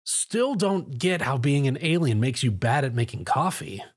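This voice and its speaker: A man's voice: mid-range voice, big pitch swings, moderate pace, breathy edge. A man voicing a cheeky, friendly little boy dragon in a story. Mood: teasing, loud.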